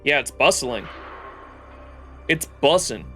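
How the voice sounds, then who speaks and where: A man with a bright, punchy voice and an average pitch gives casual gameplay commentary. He is slangy, profane and jokey, talking close to the mic over soft game music. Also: sing-song, slow. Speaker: game livestreamer. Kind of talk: casual gameplay commentary, reading dialogue aloud.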